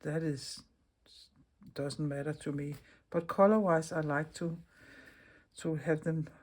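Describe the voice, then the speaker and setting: A woman's voice: fairly low pitch, a little mellow. A middle-aged woman from Denmark with a Danish accent, hosting a chatty craft tutorial in English as a second language and often restarting her words. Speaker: craft video host. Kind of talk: casual craft tutorial talk.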